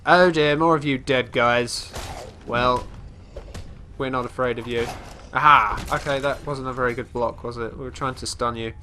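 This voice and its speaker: A man with a congested, stuffy voice talks through a game in a loose, chatty way. His pitch sits in an ordinary middle range, and he wanders off on tangents and restarts his thoughts. A faint background hiss runs under the voice. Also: fairly even intonation, normal speed, bright, forceful tone. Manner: rambling, chatty, often restarting sentences; talks about his character in third person. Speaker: let's play host. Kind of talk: casual gameplay commentary.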